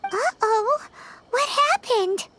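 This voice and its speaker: A woman voicing a tiny, childlike, chirpy fairy companion in a video game, with a squeaky voice. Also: big pitch swings, extremely slow, over loud music, heavily acted. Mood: concerned. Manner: speaks of self in third person, inquisitive.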